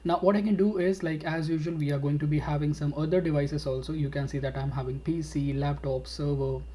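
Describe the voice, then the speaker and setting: A man leads a webinar over a computer mic, walking viewers through a screen demo. His delivery stays level and unhurried, with a warm, mellow tone, and he leans on fillers as he explains. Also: on the high side. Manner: steady explanatory talk with frequent fillers and "all right". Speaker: instructor.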